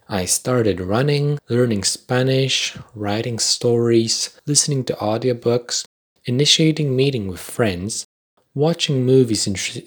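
Husky Polish-accented man, very expressive pitch. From Poland. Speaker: podcast host. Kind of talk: scripted reflective narration with commentary.

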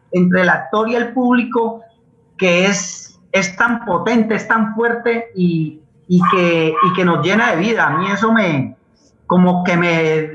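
A Colombian man speaking as a guest in an impassioned conversational interview, halting and repeating words as he searches for them. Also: high voice, sharp tone. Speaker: guest playwright and actor. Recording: dull lo-fi roomy online call.

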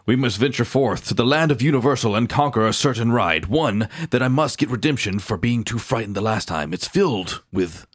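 A man voicing a deep-voiced damsel who is pleading for a hero's help, read in a mock-dramatic way. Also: animated, a little fast, hardly any pauses, breathy edge. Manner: mock-dramatic, theatrical reading.

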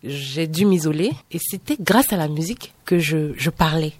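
A woman, a guest recounting her life slowly and reflectively. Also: low voice. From Benin. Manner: soft-spoken, reflective, pauses often. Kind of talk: personal interview.

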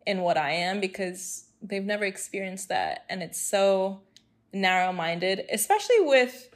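A young woman from Hong Kong talking in a casual group chat, outspoken and animated, laughing often. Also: medium pitch, measured pace.

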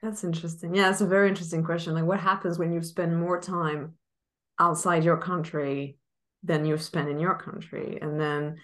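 Lower-pitched woman, podcast host, casual and giggly on a video call. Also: level tone, fairly bright tone. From France. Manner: bubbly, laughs often, quick restarts. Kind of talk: casual interview chat.

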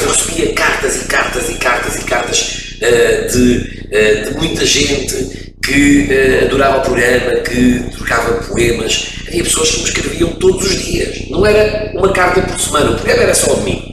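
Middle-aged Portuguese man, echoey and distant. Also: medium pitch. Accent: European Portuguese. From France. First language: French. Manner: expansive storyteller, frequent hesitations. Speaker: radio broadcaster, interview guest. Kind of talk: casual interview, reminiscing. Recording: echoey room, distant mic, background noise.

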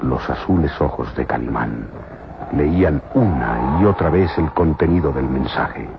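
A man's voice: very deep voice, expressive, a little slow, vocal fry, noisy background. A man narrating a radio adventure serial in a solemn, theatrical scripted style. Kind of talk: dramatic scripted narration in Spanish. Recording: muffled old radio drama, loud music.

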